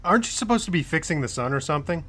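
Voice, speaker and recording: A man's voice: a little high, animated, racing, fairly bright tone, husky. A man playing a blunt, skeptical young crewman in a space audio drama, casual and incredulous.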